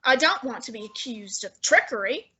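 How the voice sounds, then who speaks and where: A woman playing a portly, imperious male detective genius in a student radio drama, measured and condescending. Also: fairly high pitch, expressive, sharp tone, husky, full character voice. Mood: commanding. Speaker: student actor.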